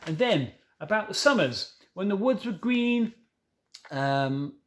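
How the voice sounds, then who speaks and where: A man's voice: high-pitched, lively intonation, takes it slowly. A man reading a children's novel aloud, stumbling now and then and correcting himself, with informal asides. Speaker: storybook reader.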